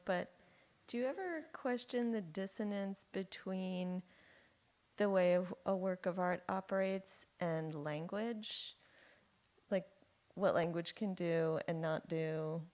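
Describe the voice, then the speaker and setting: A woman's voice: on the low side, vocal fry, darkish tone. A woman in the audience asking a lecturer a question, speaking through a mask in a searching, tentative way. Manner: searching, tentative, uses fillers like "like".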